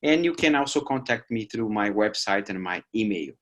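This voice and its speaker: A man's voice: fairly low pitch, normal speed. Brazilian man teaching a presentation course. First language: Portuguese. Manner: lively, with emphatic rising asides and "right?" tags. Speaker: online course instructor. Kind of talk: recorded lecture over slides. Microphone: webcam video call framing.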